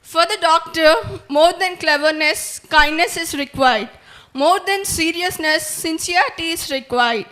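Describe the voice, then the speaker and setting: A woman's voice: extremely high pitch, measured pace, pushed and bright. A young woman from India, a medical student, giving an earnest formal thank-you speech with a few restarts. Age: young adult. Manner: earnest, formal, quotes sayings, some restarts.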